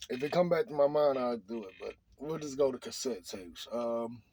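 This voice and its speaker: A man's voice: mid-range voice, normal speed, darkish tone. A middle-aged man talking off the cuff in an opinion monologue, preachy and emphatic, often repeating his phrases.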